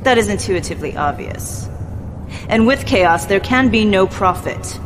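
A woman's voice: mid-range voice, expressive, a little slow, natural voice. A young woman playing a cold, imperious adviser to a ruthless house, speaking in a clipped, formal, commanding way. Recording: music bed behind the voice.

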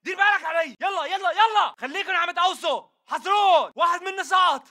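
High-strained, urgent militant fighter. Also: male voice, fast, bright, forceful tone, shouting, heavily acted. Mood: aggressive, defiant. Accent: Levantine Arabic. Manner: urgent, aggressive barking. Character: hostile militant fighter barking combat callouts. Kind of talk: shouted video game battle chatter.

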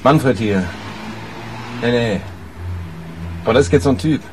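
A man's voice: mid-range voice, unhurried, noisy background, natural voice. A man playing a brusque, dismissive character on a telephone call in a radio crime drama, speaking colloquially. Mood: brusque, irritated.

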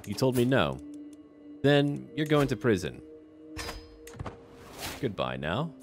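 Low-voiced man with lively game commentary. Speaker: gaming video creator playing a strategy game. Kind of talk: roleplay gameplay commentary, speaking to game characters.

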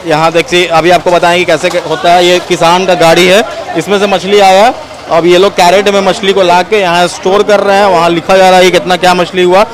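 A young man from Bihar running a casual street interview vlog, curious and chatty, asking quick follow-up questions in a busy fish market. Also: high-pitched, flat delivery, a crowd in the background, rough recording. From Bihar, India. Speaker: food vlogger. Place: busy outdoor fish market before dawn.

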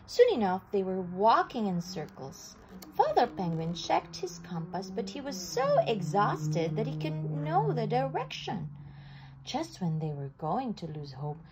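A woman reading a children's picture book aloud, slowly and with animated delivery. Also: fairly high pitch, a little hiss or hum, rough recording. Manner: slow, animated storytelling with occasional restarts. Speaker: storybook reader.

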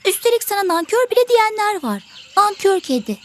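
A tiny selfish songbird for a children's cartoon, extremely high and lively in pitch. The bird is boastful, dismissive and always ready to argue. There is faint noise behind the voice. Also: female voice, fairly quick, exaggerated voice. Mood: scornful. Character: selfish, vain, argumentative little songbird. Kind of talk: children's educational cartoon acting.

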